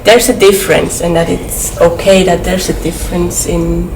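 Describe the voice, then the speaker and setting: A woman's voice: fairly low pitch, flat delivery, normal speed, echoey room. A woman of Hungarian roots talking earnestly at home, often searching for words. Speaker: family host. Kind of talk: casual reflective conversation. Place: home in a city. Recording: distant mic with noticeable noise.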